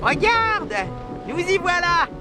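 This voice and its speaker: A cheeky little cartoon toy hero. The voice is high and bouncy, with lively swings in pitch and a brash, teasing edge, over loud music. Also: male voice, takes it slowly, heavily acted. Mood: excited. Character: cheeky, plucky, carefree male toy adventurer. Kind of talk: animated cartoon dub. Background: loud background music.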